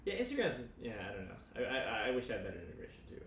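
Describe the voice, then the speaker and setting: Man, livestream co-host, very quick pace, rambling casual chat, excitable, echoey room. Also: mid-range voice, distant mic. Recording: roomy echo, faint background noise.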